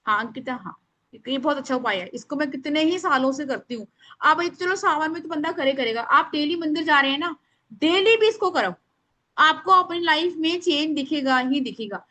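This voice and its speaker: A woman with a loud, strong voice, teaching an informal live online class in an instructive, emphatic way, mixing in English words. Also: high voice, expressive, normal speed. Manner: instructive, emphatic, addresses listeners by name; mixes English words into speech. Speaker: astrology remedies teacher.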